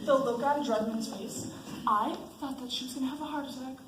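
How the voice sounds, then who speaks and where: A woman's voice: high voice, brisk, lightly acted. A teenage girl playing a mocking, playful friend in an amateur student stage play. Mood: teasing. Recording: echoey school stage, faint noise.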